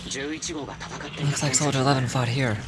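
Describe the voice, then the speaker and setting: A man's voice: mid-range voice, steady tone, a little slow, over music, close to an everyday voice. A man playing an anxious, earnest squad soldier in a video game. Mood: worried, earnest.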